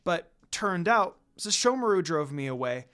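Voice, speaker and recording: Male reader as heartbroken young priestess, earnest, fairly high, expressive storybook delivery. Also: normal speed, clean tone, heavily acted. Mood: sad. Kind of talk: dramatic story reading.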